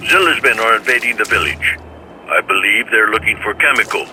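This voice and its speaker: An actor playing a courteous mentor in a video game, speaking formally and in a measured way. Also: male voice, on the low side, bright, forceful tone, slight background noise, rough recording. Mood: grave. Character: courteous, formal male mentor calling for help. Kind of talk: video game cutscene acting. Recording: music behind the voice.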